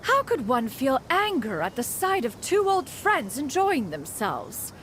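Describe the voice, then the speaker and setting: A dignified, aloof immortal with a fairly high voice and sweeping intonation. Her phrasing is lofty and old-fashioned, as in a fantasy video game. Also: huge pitch swings, unhurried, fairly bright tone, a little hiss or hum, full character voice. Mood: composed. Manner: lofty, formal, archaic diction. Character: aloof, dignified immortal woman, master inventor.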